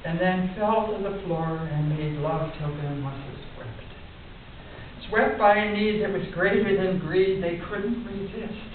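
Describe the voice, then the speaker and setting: Older woman reading poetry, very echoey room. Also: on the low side. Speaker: poet reading her work to a conference audience. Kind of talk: live poetry reading with informal spoken introductions. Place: indoor conference room, at a lectern. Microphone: distant camera in the audience. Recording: distant, echoey, muffled narrow-band with hiss.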